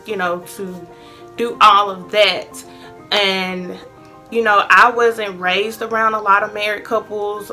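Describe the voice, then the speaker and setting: A woman's voice: medium pitch, pushed and bright. A woman in her late twenties sharing personal advice in a chatty, earnest vlog, with many ums. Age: 20s. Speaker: vlogger.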